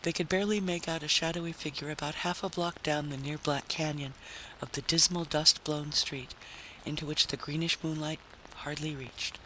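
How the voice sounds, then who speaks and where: A man narrates a story in a dramatic, scripted audiobook style. His voice sits a little high and has a bright, forceful edge, with clear hiss behind it. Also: fairly even intonation, normal speed, hardly any pauses, low-quality recording.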